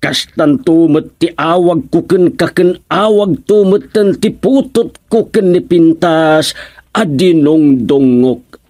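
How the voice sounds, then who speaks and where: A flustered, lovestruck village suitor in an Ilocano-accented radio comedy. He stammers and exclaims in a fairly high, mellow voice that is slightly husky. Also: slow pace, no room sound, studio quality.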